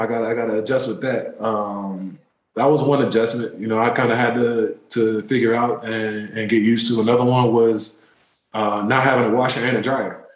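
A man in his thirties, a pro basketball player, telling relaxed, rambling stories in a casual interview. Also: medium pitch, reverberant, rough recording. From born in Turkey, raised in the DC area. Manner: relaxed, rambling, many fillers.

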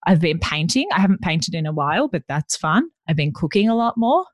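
Deep-voiced chatty woman. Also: very animated, a little fast, dry recording. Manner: chatty, self-deprecating storytelling. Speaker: podcast guest. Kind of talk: casual chat.

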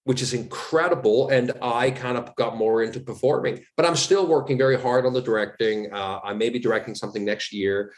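A man's voice: medium pitch, brisk, hardly any pauses. A man from Switzerland with a Swiss German accent, a guest in an interview chatting casually and acting out quoted dialogue as he tells stories. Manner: animated storyteller, acts out quoted dialogue. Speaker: actor guest.

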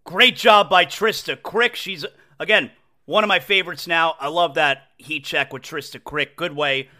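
A man's voice: fairly high pitch, normal speed, bright, forceful tone. A man hosting a sports podcast, talking in a blunt and emphatic way. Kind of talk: casual sports chat.

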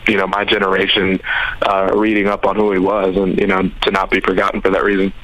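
A man in his thirties who grew up in the Chicago suburbs, speaking as an interview guest in a measured, hesitant way. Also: low voice, completely flat delivery, a bit bright, rough recording. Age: 30s. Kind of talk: conversational interview.

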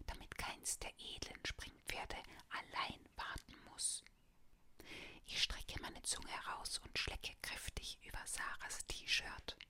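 A woman reading a children's story aloud in a soft, unvoiced whisper.

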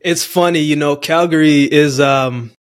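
Jamaican-born guy hosting a podcast, kinda high voice, dry close sound, earnest and pumped. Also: measured pace, dry recording. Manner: energetic, earnest, uses "man" often. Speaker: podcast host. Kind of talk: podcast interview.

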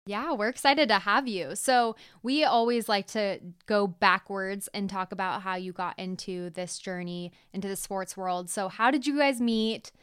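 A woman's voice: medium pitch, measured pace, hardly any pauses, fairly bright tone, smooth. A woman co-hosting a podcast, talking to the listener in a friendly, casual way. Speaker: podcast co-host.

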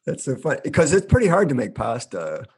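Gravelly, lively-voiced male interviewer. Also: mid-range voice. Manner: eager reactions and quick questions. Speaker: host. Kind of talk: casual interview.

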